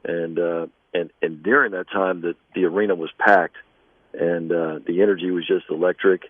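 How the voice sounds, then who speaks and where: A man speaking as an interviewed basketball coach, conversational and measured, with halting pauses. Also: low-pitched, steady tone, no room sound, narrow, tinny audio.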